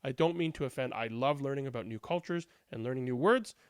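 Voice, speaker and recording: A man's voice: medium pitch, fast, smooth, no echo, clean studio sound. A man hosting a read-aloud, reading picture books expressively and commenting informally. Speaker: read-aloud host and storyteller.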